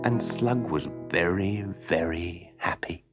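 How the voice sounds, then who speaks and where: A man reading a children's story as expressive scripted narration. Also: low-pitched, slow pace, loud music behind the voice. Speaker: story reader.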